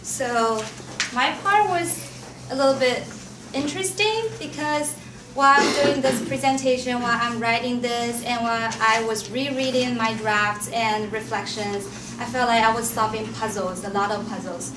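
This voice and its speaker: A woman's voice: a little high, moderate pace. A young woman giving an informal academic presentation as a student, often restarting and repeating her phrases. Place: indoor room at an academic forum. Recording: echoey, noisy room, distant mic.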